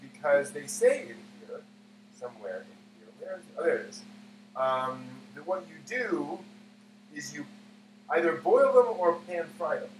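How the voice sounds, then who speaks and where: Roomy, distant, halting male live-stream host. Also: on the high side, animated. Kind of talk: casual live cooking demonstration. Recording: roomy, far mic, clear background hum.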